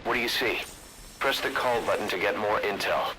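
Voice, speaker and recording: An actor playing a brisk military support officer giving instructions to a soldier in a video game. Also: male voice, lowish voice, background noise, close to an everyday voice. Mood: brisk, instructive. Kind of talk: scripted game tutorial instructions.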